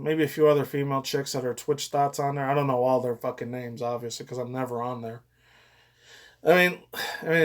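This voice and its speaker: Rambling, foul-mouthed young man, higher-pitched voice. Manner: rambling, crude slang, heavy swearing. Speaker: reaction commentator. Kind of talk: casual off-the-cuff commentary.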